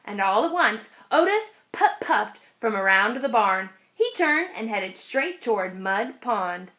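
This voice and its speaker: A woman narrating a children's picture book aloud in an expressive storytelling style. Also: a little high, unhurried.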